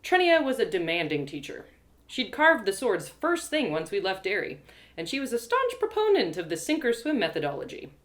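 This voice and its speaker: A woman narrating a scripted fantasy tale in the first person, with expressive, dramatic storytelling. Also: medium pitch, fairly quick.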